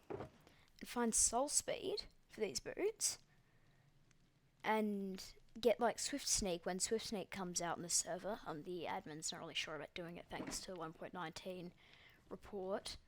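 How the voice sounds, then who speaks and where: A woman's voice: fairly low pitch, slight background noise. A young Australian woman giving casual, rambling commentary over her gameplay, full of ums and likes and the odd swear. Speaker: gaming video creator.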